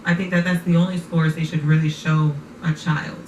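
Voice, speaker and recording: A woman's voice: deep voice, level tone, moderate pace, a little mellow. A woman hosting a casual talk show, often affirming and repeating her guest's words back. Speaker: podcast host. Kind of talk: casual conversation. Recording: echoey room, distant mic, noisy.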